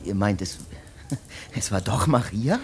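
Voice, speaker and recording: A man's voice: lowish voice, animated, takes it slowly. A man acting in a German radio play as an evasive, nervous friend under accusation, deferential yet hesitant and defensive. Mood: uneasy, confused. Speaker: actor in a radio drama.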